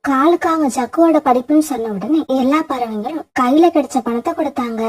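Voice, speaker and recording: A woman's voice: high-pitched, a little fast, husky. A woman narrator reading a scripted children's story.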